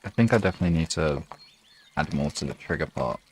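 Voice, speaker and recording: A man chatting casually and softly with his listeners as an ASMR streamer, relaxed and unhurried. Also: extremely low pitch, slight background noise. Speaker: ASMR hypnosis live streamer.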